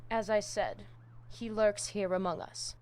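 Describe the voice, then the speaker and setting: An actress voicing a zealous vampire priestess in a video game, with a Spanish accent and a solemn, commanding manner that mixes in Spanish. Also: female voice, medium pitch, a little slow, darkish tone, lightly acted. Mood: grave, mystical. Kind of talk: video game dramatic dialogue.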